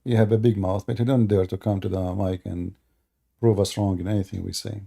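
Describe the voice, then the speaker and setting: A man's voice: fairly low pitch, little pitch movement, a little fast, vocal fry, dark tone. A man hosting a live call-in stream, talking emphatically in non-native grammar and laughing as he mocks opponents. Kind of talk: casual live call-in chat.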